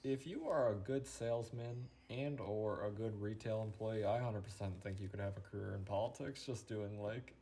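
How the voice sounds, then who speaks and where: A man's voice: lowish voice, moderate pace, few pauses, mellow, a little hiss or hum. A young man from Pennsylvania co-hosting a podcast, chatting casually and laid-back, swearing often. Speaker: podcast co-host. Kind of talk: casual chat.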